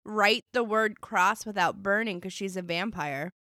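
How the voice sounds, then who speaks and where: A woman co-hosting a podcast in casual chat, making quick snarky quips. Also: medium pitch, pushed and bright, no room sound, studio quality. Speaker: podcast co-host.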